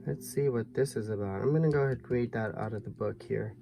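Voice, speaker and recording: A man giving a tarot reading to viewers in a soft, musing way, his voice a little hoarse. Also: medium pitch, quick pace, soft background music. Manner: soft, musing, thinks aloud with fillers. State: slightly hoarse, losing his voice. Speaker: tarot reader.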